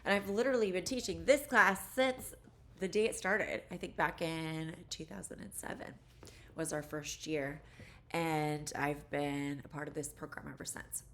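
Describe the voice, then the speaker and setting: A low-pitched woman in her forties with a stuffed-up, congested voice from allergies. She talks through a course introduction like a friendly college instructor, chatty and loose with plenty of "um" and "like". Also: normal speed, a bit bright. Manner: chatty, rambling, many "like" and "um" fillers. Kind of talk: course introduction video, casual lecture.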